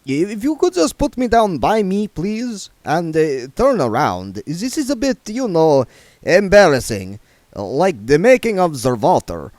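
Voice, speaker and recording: A man's voice: high-pitched, sing-song, measured pace, a little mellow, no echo, pitched above the performer's own voice. A man voicing a fussy, reformed male vampire who speaks in a prim, indignant, overly formal way. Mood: fussy, polite.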